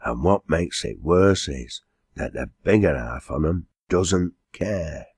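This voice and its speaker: A plain-spoken Northern English landlady from a storybook, acted by a male reader. She is bossy and tender-hearted by turns. Her speech is unhurried and homely, with little room sound. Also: a bass voice, expressive, darkish tone, breathy edge, dry recording, clean studio sound, heavily acted. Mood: earnest.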